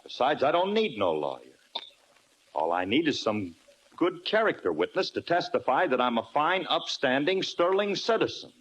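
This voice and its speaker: An actor playing an excitable, brash bandleader husband with slangy, ungrammatical speech, in old-time radio comedy style. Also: male voice, fairly high pitch, moderate pace, breathy edge, full character voice, low-quality recording. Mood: indignant. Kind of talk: 1940s-50s radio comedy acting.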